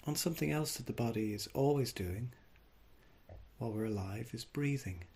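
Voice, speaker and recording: A man leading a guided body scan meditation, speaking gently and without hurry, leaving long pauses. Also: mid-range voice, breathy edge. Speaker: mindfulness meditation teacher.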